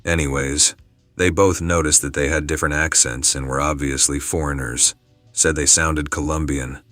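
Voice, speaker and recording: Very deep, flat, bright-toned male narrator. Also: moderate pace, no echo. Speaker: narrator reading forum posts. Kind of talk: scripted narration. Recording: music underneath.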